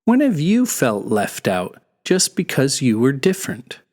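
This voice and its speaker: Very animated, unhurried children's storyteller. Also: medium pitch. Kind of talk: expressive scripted children's folktale narration.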